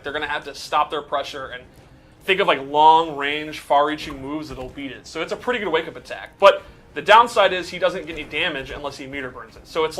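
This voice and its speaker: A man's voice: fairly high pitch, fairly bright tone. A man giving a casual, explanatory breakdown in the style of gameplay commentary. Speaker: game commentator breaking down a character.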